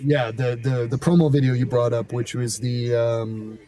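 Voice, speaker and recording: A man chatting casually as a voice actor in an interview, rambling through anecdotes in an animated way and acting out exchanges. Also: mid-range voice, normal speed. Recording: slightly distant mic, music behind.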